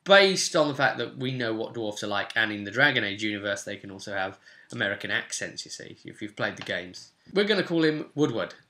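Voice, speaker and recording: Bright-voiced young man explaining a tabletop game. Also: on the low side, animated, fairly quick, slight background noise. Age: young adult. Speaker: game master and channel host. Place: small furnished room at home, indoors.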